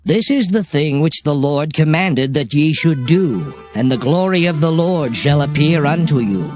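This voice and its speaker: An actor playing a solemn, commanding biblical prophet and leader in a dramatized scripture reading, with a declamatory delivery. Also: male voice, on the high side, expressive, measured pace, darkish tone. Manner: solemn, declamatory, archaic phrasing. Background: music.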